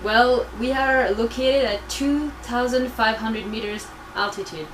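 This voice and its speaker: A woman's voice: a little high, unhurried. A woman in her twenties giving explanatory answers as a research crew member, speaking to students. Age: 20s. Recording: noisy room recording.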